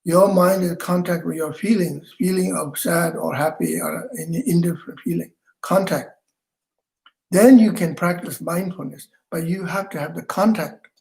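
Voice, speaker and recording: Older guy teaching meditation, gravelly voice kinda high, lots of uh and restarts, video call from home. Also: fairly even intonation, a little mellow. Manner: halting, with frequent fillers and restarts. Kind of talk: dharma talk.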